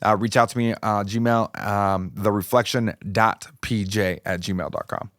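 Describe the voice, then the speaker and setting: Slightly raspy American man, dry close sound. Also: fairly low pitch, steady tone, a little slow, fairly bright tone, no room sound. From United States, California. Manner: encouraging, informal, repeats phrases for emphasis. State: slightly raspy voice from a passing illness. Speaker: podcast host. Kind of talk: motivational podcast monologue.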